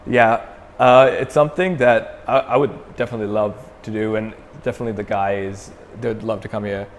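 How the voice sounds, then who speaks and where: Young man with a low voice, echoey room. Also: normal speed, slight background noise. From Sri Lanka. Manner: relaxed, many fillers and restarts. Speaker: metal band frontman, interview guest. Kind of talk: casual interview.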